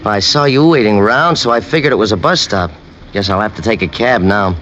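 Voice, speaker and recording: An actor playing a shifty, falsely friendly stranger in a 1950s radio drama, affable on top and evasive underneath. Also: male voice, on the low side, a little fast, fairly bright tone, close to an everyday voice. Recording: muffled vintage radio, slight noise.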